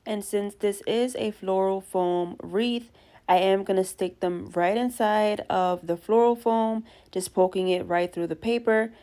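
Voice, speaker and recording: A young woman with a stuffy nose from allergies, chatting warmly through a DIY craft tutorial and gushing to her viewers. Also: mid-range voice, fairly even intonation, a little slow. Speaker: craft vlogger.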